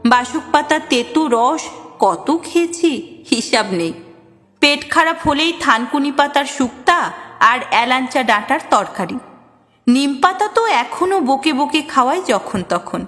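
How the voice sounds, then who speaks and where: A woman's voice: sits high, a bit bright. A woman reading a scripted, reflective personal story. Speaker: story narrator. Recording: long reverb, music under voice. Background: music.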